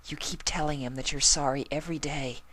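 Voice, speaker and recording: A man voicing a timid, gentle female pony who talks in a hushed half-whisper. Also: on the high side, steady tone, brisk, pitched above the performer's own voice. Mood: coaxing.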